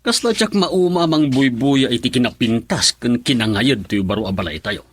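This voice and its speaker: An easygoing middle-aged Ilocano father played by a male radio actor. His mid-pitched voice swings freely up and down as he speaks, in radio drama style with an Ilocano accent. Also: expressive, moderate pace, close to an everyday voice. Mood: cheerful.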